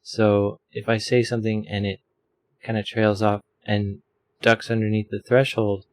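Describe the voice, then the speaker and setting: A man talks through his recording setup in a loose, rambling way, stumbling over fillers as he goes. His voice sits a touch low and comes through a desk microphone at home. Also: level tone, measured pace, darkish tone. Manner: rambling, self-deprecating, many fillers. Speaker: streamer explaining audio settings. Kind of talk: casual tutorial. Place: home room with a fan running.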